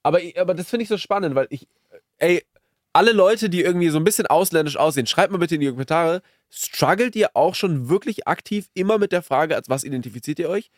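A man's voice: fairly high pitch, lively intonation, quick pace, no room sound, clean studio sound. A man in his early twenties chatting casually as a podcast co-host, using youthful slang peppered with English loanwords. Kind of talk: casual conversational podcast chat.

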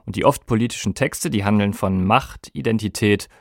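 A man's voice: lowish voice, moderate pace, vocal fry, dry recording, studio quality. A man presenting a scripted podcast documentary in an explanatory way, speaking directly to listeners. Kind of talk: scripted music documentary narration.

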